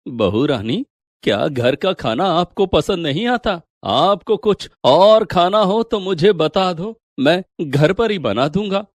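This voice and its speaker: A man's voice: high-pitched, animated, slow pace, no echo, studio quality, full character voice. A man voicing a deferential servant, polite and eager to please, in a story dramatization. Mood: concerned. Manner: polite, obliging.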